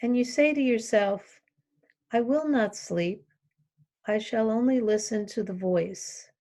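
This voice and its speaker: A woman teaching yoga nidra, guiding a relaxation meditation in calm, short instructional phrases with long pauses between them. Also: on the high side.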